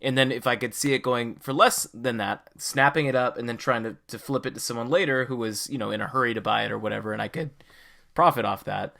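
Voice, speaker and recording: Bright-voiced man, podcast host. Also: medium pitch, quick pace, creaky. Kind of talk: casual podcast discussion.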